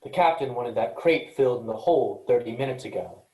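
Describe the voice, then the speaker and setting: A man's voice: mid-range voice, a little fast, a little mellow, lightly acted. A man in a play reading, acting a matter-of-fact, unimpressed spaceship crewmate. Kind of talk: staged comedy play reading. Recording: echoey room sound.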